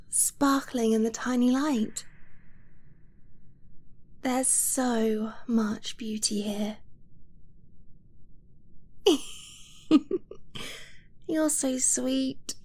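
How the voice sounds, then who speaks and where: A woman acts out a tender dragon-blooded girlfriend in a romantic ASMR roleplay. Her voice is high, soft and breathy, often near a whisper. She speaks extremely slowly, with a gentle, nervous hesitancy and close affection. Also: exaggerated voice. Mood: tender, playful. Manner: slow, gentle, hesitant, intimate.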